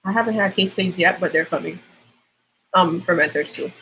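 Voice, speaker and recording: A woman's voice: fairly low pitch, a little fast, fairly bright tone, a little hiss or hum, low-quality recording. A young woman with a Trinidadian accent, chatting casually on a livestream as a nursing student, explaining things with lots of fillers.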